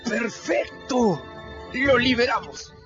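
A man's voice: very high voice, lively intonation, slow, a bit bright, soft background music, full character voice. An actor playing an imaginative, adventurous boy who loves grand plans, speaking theatrically and eagerly in a radio drama. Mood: scheming. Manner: theatrical, eager, bossy planner. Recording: dull lo-fi radio recording.

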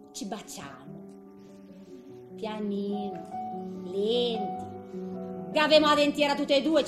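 A woman's voice: medium pitch, reverberant. An actress playing a tender, chatty eighty-year-old woman with a Ligurian Italian accent, in a homely theatrical monologue. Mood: tender, wistful. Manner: chatty, homely, hesitant storytelling with little interjections.

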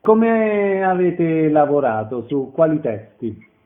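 Guy doing interview questions, voice a bit high, tinny radio-phone sound. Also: big pitch swings, takes it slowly.

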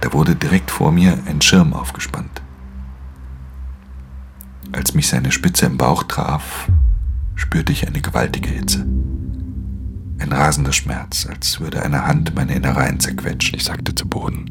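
Very deep, gravelly, hushed pickpocket. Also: male voice, steady tone, a little slow, long pauses, over music, full character voice. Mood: pained, tense. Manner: hushed, breathy, inward first-person narration. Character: weary male pickpocket, wounded, recounting his own downfall. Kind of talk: radio play narration.

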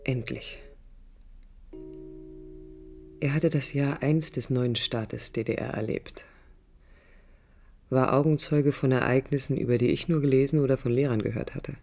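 An actress playing a sensitive, proud yet vulnerable young woman architect, narrating her inner thoughts in short halting phrases for a radio drama. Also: rumbling low, fairly even intonation, mellow. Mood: reflective. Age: young adult.

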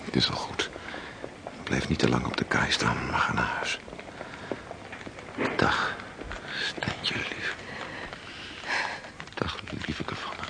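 A man in a radio play acting a gentle, plain-spoken seaman who chronicles a voyage. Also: very deep voice, slow, gravelly, pauses often, slight background noise, full character voice. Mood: soft, tender.

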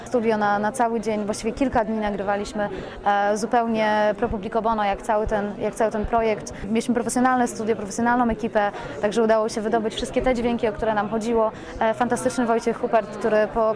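A woman giving a spontaneous interview as a producer, effusive and rambling, often correcting herself mid-sentence. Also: fairly high pitch. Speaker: radio play producer being interviewed. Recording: busy crowd chatter behind voice. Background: crowd at a public event.

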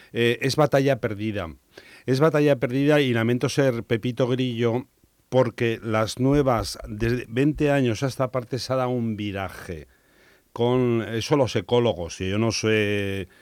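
A man's voice: on the low side, clear, resonant tone, no room sound. A man commenting in a radio debate, opinionated and often correcting himself mid-sentence. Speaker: panelist.

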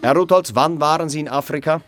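An actor playing a stern official in a radio drama, questioning formally, curtly and insistently. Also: male voice, fairly high pitch, fast, a bit bright, soft background music, natural voice. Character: stern, probing official interrogating a job applicant.

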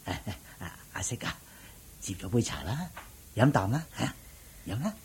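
An actor playing a doting, fussy older husband in a Cantonese radio drama, with a Hong Kong accent and a coaxing manner. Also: male voice, on the low side, sing-song, a little hiss or hum. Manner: chuckling, coaxing, drawn-out particles and repeated interjections.

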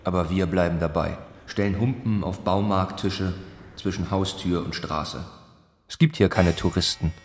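A man narrating a literary radio play in deliberate, measured short phrases. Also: deep voice, steady tone, slight background noise.

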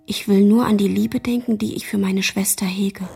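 An actress playing a tender, anxious sibling saying farewell in a German radio drama, with a breathy, whispery voice. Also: female voice, medium pitch, fairly even intonation, normal speed, warm tone, slight background noise, natural voice.

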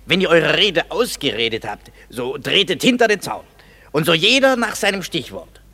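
A man playing a fussy, excitable craftsman who directs an amateur play in a comic German radio drama. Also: on the high side, moderate pace, noisy background. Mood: fussy, pondering. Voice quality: husky.

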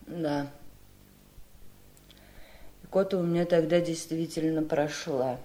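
An actress in a radio drama playing a wistful, nervous gentlewoman who rambles nostalgically in a girlish manner. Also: sits low, fairly even intonation, takes it slowly. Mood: wistful remembering. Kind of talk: Soviet radio drama acting.

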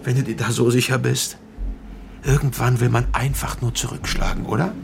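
An actor playing a hard-nosed middle-aged politician in a radio drama, stern, lecturing and condescending in a naturalistic way. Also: male voice, medium pitch, level tone, moderate pace, vocal fry, background noise, natural voice. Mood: cold, threatening.

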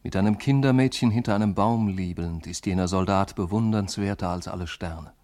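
An actor playing a weary, disdainful, brooding man in a literary radio play, with earnest, measured declamation. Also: deep voice, a little mellow, lightly acted. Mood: bitter, musing.

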